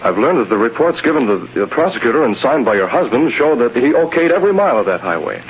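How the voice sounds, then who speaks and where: An actor playing a cool, commanding masked vigilante in clipped, authoritative vintage radio drama style. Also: male voice, mid-range voice, very animated, fast. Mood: grave, reassuring. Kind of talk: 1930s-40s radio drama acting. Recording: muffled, noisy vintage radio broadcast.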